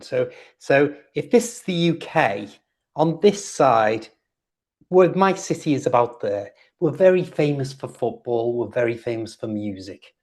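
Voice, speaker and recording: Older Liverpool man, patient and gentle. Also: medium pitch. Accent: Liverpool English. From England. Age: 60s. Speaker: guest, English teacher YouTuber. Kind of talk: friendly online conversation.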